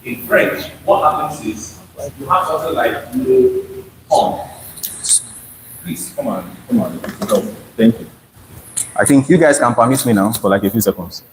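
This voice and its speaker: A man addresses a live audience, drawing them in with questions and frequent restarts. His voice comes from a distance in a reverberant hall. The recording carries a clear layer of noise. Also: medium pitch, wildly swooping pitch, moderate pace. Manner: interactive, quizzes the crowd, many restarts. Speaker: speaker presenting to an audience. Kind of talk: live presentation with audience interaction. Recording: echoey hall, voice from afar, noisy.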